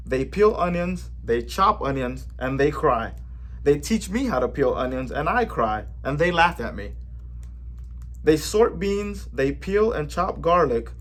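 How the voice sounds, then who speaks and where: A man reading a children's picture book aloud in a gentle, measured way, with an occasional small slip. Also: a little high. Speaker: storybook reader for a library.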